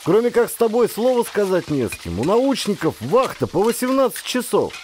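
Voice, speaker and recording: A talkative ex-sailor cook from an audiobook, acted out by a male narrator, speaking in a raised, swinging pitch. He is simple-hearted and folksy, grumbling about his lot with rueful humor. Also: very animated, fairly quick, darkish tone, a little hiss or hum. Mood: wry grumbling. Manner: folksy, colloquial, complaining with humor. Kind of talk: character dialogue in an audiobook.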